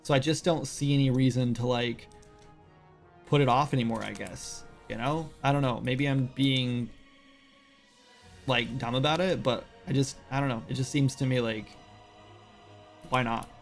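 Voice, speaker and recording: A man's voice: mid-range voice, fairly even intonation, normal speed, pauses often, soft background music. A man in his late thirties, chatting casually on a game development livestream in a rambling, self-deprecating way full of fillers. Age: 30s. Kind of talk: casual livestream chat while working.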